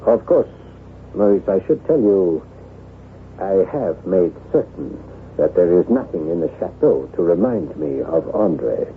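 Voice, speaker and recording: A besotted nobleman of a chateau in an old radio play, effusive and theatrical. His pitch swings widely, with a gravelly creak at the edges. The sound is thin and boxy, like a vintage broadcast. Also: big pitch swings, measured pace, heavily acted. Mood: uneasy, secretive. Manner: theatrical, effusive, gushing over his bride. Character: besotted newlywed lord of a chateau, haunted by guilt. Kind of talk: 1970s radio drama acting. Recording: tinny, band-limited old radio recording with hiss.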